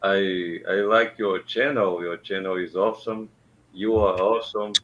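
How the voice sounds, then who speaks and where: A man from Brazil with a Brazilian Portuguese accent, speaking as a caller in a casual chat in halting English and searching for words. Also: low voice, steady tone, background noise. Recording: dull lo-fi line.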